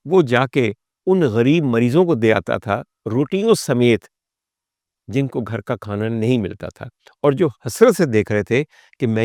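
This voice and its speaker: Gravelly Pakistani man telling personal stories. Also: on the high side, darkish tone, dry recording, studio quality. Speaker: talk show guest and storyteller. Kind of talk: personal storytelling monologue to an audience.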